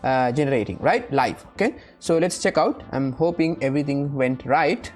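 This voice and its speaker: A woman hosting an informal step-by-step software tutorial, often checking in with the listener as she explains. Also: sits low, expressive, normal speed, over loud music. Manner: frequently checks in with "right?". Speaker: tutorial host.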